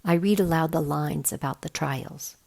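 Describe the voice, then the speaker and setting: A woman reading a children's novel aloud in an expressive storytelling style. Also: low voice, normal speed, creaky. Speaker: volunteer reader of a children's book.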